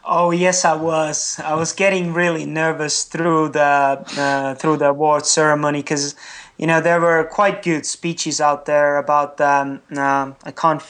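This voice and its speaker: A young man with an Estonian accent, a non-native English speaker, giving candid, good-humoured interview answers as a triathlete and pausing to search for words. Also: a little high, flat delivery, fairly bright tone. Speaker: triathlete guest.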